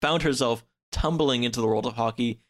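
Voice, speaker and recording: A man in a casual podcast chat, recapping a story in a halting, hedging way. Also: medium pitch. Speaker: podcast speaker discussing books.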